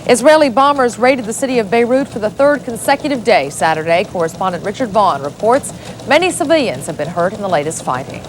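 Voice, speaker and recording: Female anchor reading the news, measured, audible tape hiss. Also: mid-range voice, lively intonation. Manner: crisp, measured anchor delivery. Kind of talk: read news bulletin.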